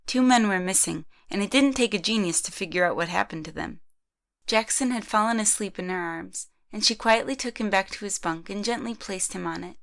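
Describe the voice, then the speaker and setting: A woman's voice: mid-range voice, fairly quick, creaky, fairly bright tone. A woman reading scripted third-person narration for an amateur audio drama.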